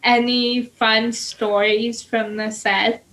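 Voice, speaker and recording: A woman's voice: fairly high pitch, flat delivery, slow, a bit bright, poor audio. A young woman hosting an interview, hesitant with many ums and giggly. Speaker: interview host. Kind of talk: casual interview.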